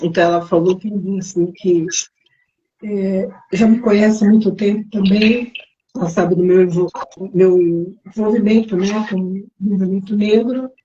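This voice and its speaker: A woman guest in a casual interview, hoarse and losing her voice, speaking haltingly. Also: mid-range voice, fairly even intonation, mellow, highs cut off, slight background noise. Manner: halting, brief answers. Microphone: remote call over a live stream.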